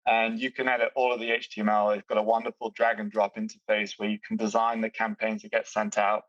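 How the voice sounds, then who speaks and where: A British man walks through software features in a fluent, upbeat, detailed way. His voice sits fairly low. The mic sounds distant and dull, and the room is dry. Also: completely flat delivery, quick pace, no room sound. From Britain. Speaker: software company executive. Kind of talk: explaining product features in a podcast chat.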